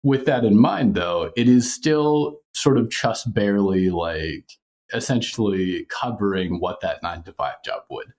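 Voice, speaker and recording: A man chatting casually as an interview guest about his creative work, in an informal, reflective, halting manner. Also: fairly low pitch, a little mellow.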